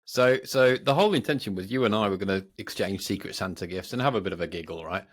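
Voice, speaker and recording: A man's voice: low voice, quick pace. A British man co-hosting a podcast with unscripted, casual banter in a jokey, self-deprecating way. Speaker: podcast co-host.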